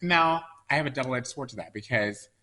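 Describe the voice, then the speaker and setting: A man co-hosting a relaxed podcast chat, playful and quick to tease his co-host. His pitch moves around a lot and his voice sounds bright and forward. He talks loosely, restarting sentences and stacking fillers. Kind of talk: casual chat.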